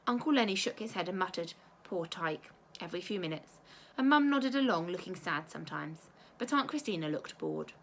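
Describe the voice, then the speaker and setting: A woman teacher reading a children's storybook aloud with expressive narration. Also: fairly low pitch, a little fast, hardly any pauses, a little hiss or hum.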